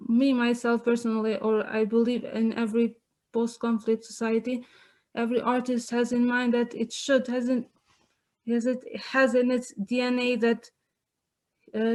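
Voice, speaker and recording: High, flat-toned young Kosovan woman. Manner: earnest, searching for words. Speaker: playwright on a panel. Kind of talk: unscripted panel answer. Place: online webinar.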